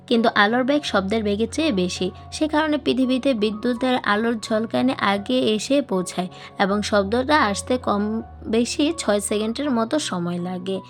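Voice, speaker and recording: A woman with a fairly high voice narrates a novel as a scripted audiobook. She reads literary prose as a storyteller, with music playing behind her. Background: background music.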